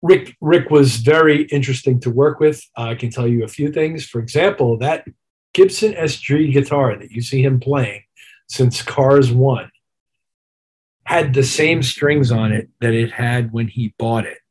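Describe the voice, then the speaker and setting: A mature man with a husky edge and a medium-pitched voice. He talks as an interview guest who is a working musician, unspooling detailed anecdotes. His delivery is casual and reflective, and he voices both sides of remembered conversations. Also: measured pace, a little mellow, low-quality recording. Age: middle-aged or older.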